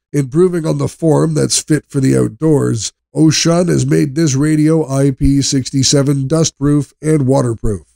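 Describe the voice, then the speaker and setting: A man narrates a scripted product review in a measured, explanatory manner. His voice has a mellow tone with some gravel in it, and it is recorded close and dry. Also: mid-range voice, dry recording.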